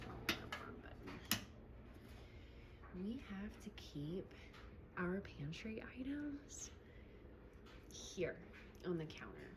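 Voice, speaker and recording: Lady filming at home on her phone, kinda low hushed voice slipping into whispers, fed up and sweary, noisy audio. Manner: hushed, often drops to a whisper. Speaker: vlogger. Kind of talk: casual handheld video tour. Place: indoors at home, in a kitchen. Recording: noisy handheld clip.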